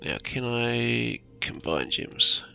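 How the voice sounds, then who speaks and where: A man's voice: medium pitch, lively intonation, slow, vocal fry, sharp tone, soft background music. A man giving casual gameplay commentary, muttering short offhand remarks to himself. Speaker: gamer, commentator.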